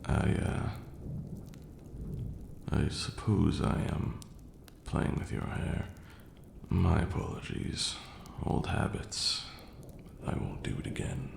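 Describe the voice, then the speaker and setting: A man playing an ancient vampire lord in an intimate ASMR roleplay, haughty yet tender, speaking formally and with measured care in a deep, gravelly murmur. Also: darkish tone, full character voice. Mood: tender, wistful. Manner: measured, formal archaic diction, condescending yet doting. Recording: roomy echo, faint background noise.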